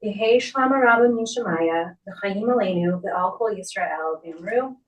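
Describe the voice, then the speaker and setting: A woman officiant speaks formally at a service in a mid-range voice. Her delivery tends to be quick, and the recording is roomy and slightly distant. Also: mid-range voice, slight background noise. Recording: roomy echo, distant microphone.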